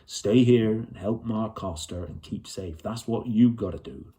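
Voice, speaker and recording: A man's voice: on the low side, unhurried, mellow, natural voice. A man voicing a stern, protective older male leader in a storybook, firm and folksy in manner.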